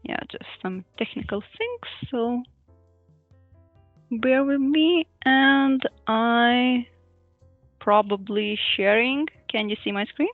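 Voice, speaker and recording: A woman's voice: a little high, slow pace, dry recording. A woman from Lithuania giving an online user group presentation on a video call, hesitant with frequent fillers and small laughs. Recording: thin phone-like dry audio, faint music.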